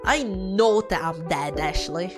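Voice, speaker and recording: A woman's voice: on the low side, takes it slowly, voice pushed down. A woman voicing a guarded, intense young dead woman in a visual novel, cool, curt and serious. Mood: cold, intense. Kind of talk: visual novel character read aloud. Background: background music.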